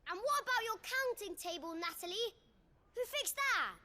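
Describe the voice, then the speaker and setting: A woman's voice: extremely high pitch, lively intonation, moderate pace, bright, forceful tone, a little hiss or hum, exaggerated voice. A woman playing an outspoken, fiercely loyal child in a video game, insistent and full of reproach. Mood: reproachful, insistent. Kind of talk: dramatic video game dialogue.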